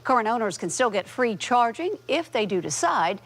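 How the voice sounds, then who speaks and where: A woman's voice: a little high, very animated, moderate pace, noisy background. An American woman reading scripted news as an anchor.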